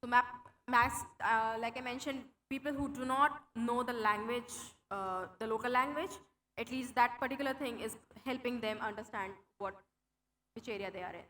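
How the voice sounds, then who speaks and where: A woman's voice: a little high, moderate pace, sharp tone. A young woman speaking English with an Indian accent, her first language Hindi, giving a conference tech talk with a live demo, explaining things with many fillers and restarts. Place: conference hall.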